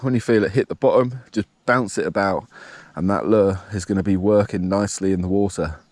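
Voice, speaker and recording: A man with a blocked nose and a slightly nasal voice, casually talking through his fishing outdoors by a dam in a relaxed, explanatory way. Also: low voice, fairly quick, no echo. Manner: relaxed, explanatory, thinking aloud. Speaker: fishing vlogger. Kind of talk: casual outdoor vlog narration.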